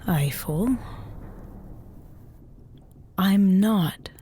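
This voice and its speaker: A woman's voice: mid-range voice, animated, very slow, dark tone, slight background noise. A woman playing a hard-edged, wounded space officer in an audio drama, speaking with sarcastic, confrontational emphasis. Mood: anguished, bitter.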